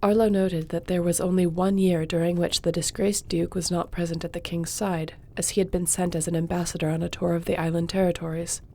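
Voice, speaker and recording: A woman playing a loyal court sorceress in a fantasy audio roleplay, speaking in a measured, formal, gently supportive way. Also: lowish voice, little pitch movement. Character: loyal, composed court sorceress advising her king.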